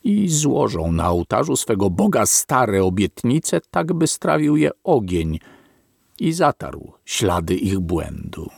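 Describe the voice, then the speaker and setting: Low gravelly man reading slowly. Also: sing-song, no room sound, studio quality. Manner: slow, weighty and deliberate, like a sermon. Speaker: narrator. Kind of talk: solemn audiobook reading of a philosophical text.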